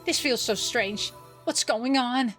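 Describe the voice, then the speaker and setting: A woman's voice: on the high side, slow pace, fairly bright tone, soft background music. A woman voicing an optimistic, careless young female roommate in an emotive way for a visual novel. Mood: distressed. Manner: emotive, scatterbrained.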